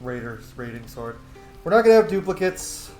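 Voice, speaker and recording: A man's voice: mid-range voice, big pitch swings, moderate pace, darkish tone. Man casually commentating a game, roomy echo. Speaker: gaming streamer. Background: faint game music.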